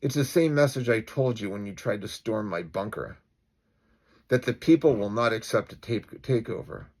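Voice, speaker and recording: A man playing a calm, defiant citizen vigilante with deliberate, declamatory speech in an acted-out story. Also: on the low side. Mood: cool, menacing.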